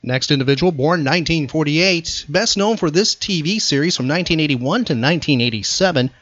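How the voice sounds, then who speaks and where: A man's voice: a little high, animated, brisk, a bit bright, lo-fi sound. A man hosting trivia as quizmaster in an emphatic, announcer-like radio style. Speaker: podcast host and quizmaster.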